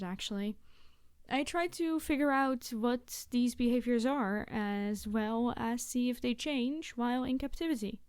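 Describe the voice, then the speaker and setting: A woman acts out a sweet young biologist in an ASMR roleplay. Her voice is high and very smooth, recorded dry and close. She is a friendly animal enthusiast who explains eagerly, with small hesitations, straight to the listener. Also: darkish tone, no room sound, natural voice. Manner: gushing, hesitant, chatty explaining.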